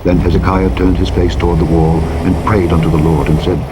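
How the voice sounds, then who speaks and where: Male scripture narrator, lowish pitch, solemn and formal read, light truck noise underneath. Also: flat delivery, a little fast. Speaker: audiobook Bible narrator. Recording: vehicle engine noise behind the voice. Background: truck driving sounds from a simulator game.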